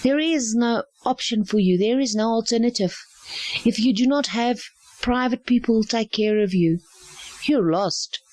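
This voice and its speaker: A woman's voice: a little high, measured pace, a little mellow, no room sound. A middle-aged South African woman whose first language is Afrikaans, speaking earnestly and repeating phrases for emphasis. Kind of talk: earnest spoken testimony.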